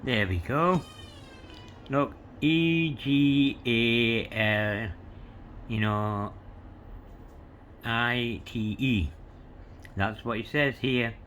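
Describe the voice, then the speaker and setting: Slow, rambling man showing coins. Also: mid-range voice, a bit bright, slight background noise. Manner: meandering, full of "you know" and "yeah", reads inscriptions letter by letter. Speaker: coin collector showing coins. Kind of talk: rambling show-and-tell to camera.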